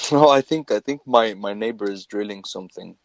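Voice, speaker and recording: A man's voice: fairly low pitch, normal speed, gravelly, no room sound, muffled recording. A young man from Ireland, talking earnestly and conversationally as an interview guest, often searching for his words. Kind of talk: casual interview talk.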